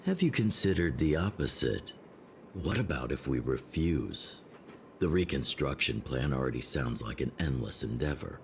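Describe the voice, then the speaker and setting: An actor playing a weary, pragmatic old man in a video game, speaking in a measured, grave way. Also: a bass voice, expressive, a little hiss or hum. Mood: weary, frank. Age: older. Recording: game audio with music behind.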